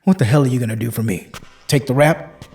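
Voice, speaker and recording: A man's voice: mid-range voice, quick pace, darkish tone, soft background music, lightly acted. An actor playing a guilty, desperate man in an audio drama, blunt and disbelieving, with a pleading edge. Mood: wary, hopeful. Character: desperate guilty man, skeptical, rough-spoken, cornered.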